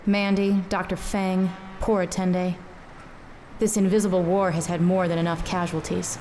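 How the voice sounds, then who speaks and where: A woman playing a hard-boiled, world-weary private eye in a noir audio drama, terse, wry and deadpan. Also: lowish voice, moderate pace, a little hiss or hum, natural voice, poor audio. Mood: grim, resigned.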